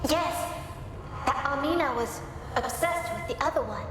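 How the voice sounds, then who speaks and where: An actress playing a gentle, earnest younger sister in a video game cutscene, speaking in a conciliatory way. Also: female voice, sits high, lively intonation, a little slow, roomy sound, in a large hall, noisy background.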